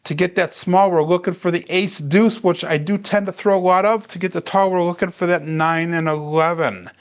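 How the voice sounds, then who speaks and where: Man, fairly high voice, smooth clean tone, calm steady livestream narration, bet-by-bet commentary. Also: normal speed. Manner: calm, steady, talks viewers through each bet. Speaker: craps practice live streamer. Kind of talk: casual play-by-play livestream narration.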